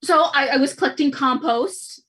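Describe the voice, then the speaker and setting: A woman's voice: sits high, moderate pace, a bit bright. A woman playing an anxious, guilt-ridden young co-op worker in a comedy table read, earnest, stammering and overwrought. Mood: distraught confession.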